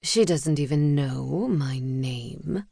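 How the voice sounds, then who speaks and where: A woman voicing a vain, sardonic male pet owl in a storybook, talking in a dry, deadpan and self-satisfied way. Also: sits low, slow, dry recording, pitched below the performer's own voice, exaggerated voice.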